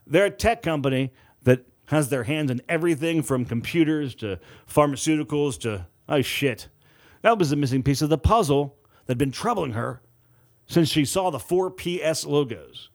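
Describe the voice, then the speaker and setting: A man's voice: fairly high pitch, lively intonation, normal speed. A man reading a novel aloud as scripted, dramatic audiobook narration.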